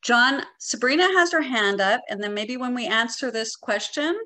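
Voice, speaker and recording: A woman's voice: on the high side, expressive, moderate pace, bright, forceful tone. A middle-aged woman explaining things to an online club with enthusiasm and emphasis. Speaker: workshop presenter. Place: living room on a video call.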